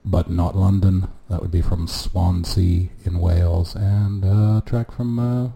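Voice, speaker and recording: A man with a very low voice and a dark, mellow tone introduces his radio set. He talks loosely and unhurriedly, filling gaps with little hesitations as he runs down what he's playing. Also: vocal fry. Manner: relaxed, unhurried, with many "uh" pauses. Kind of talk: casual radio show intro. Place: at home, street noise coming in.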